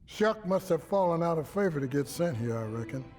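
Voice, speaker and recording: A man plays a shrewd outlaw crew leader in a cinematic game. His voice sits a little high for a man, articulate and deliberate, with music underneath. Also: expressive, a little mellow, lightly acted. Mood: grave, measured. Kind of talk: cinematic video game acting.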